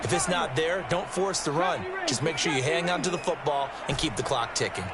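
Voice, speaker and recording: An actor playing a chatty, assured American color commentator, explaining football conversationally in a video game. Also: male voice, fairly high pitch, lively intonation, fairly quick, over a noisy crowd. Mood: analytical.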